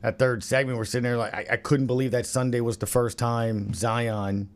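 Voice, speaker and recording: A man's voice: mid-range voice, little pitch movement, moderate pace. A man hosting a casual sports discussion, speaking informally and conversationally as he leads the panel. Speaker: podcast host leading the discussion.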